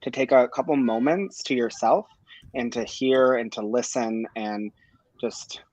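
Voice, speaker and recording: A man in his thirties co-hosting a casual live chat, talking in a relaxed, hesitant way with many ums and restarts. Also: mid-range voice, unhurried, no echo, rough recording. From Michigan. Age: 30s. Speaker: co-host, campaign volunteer.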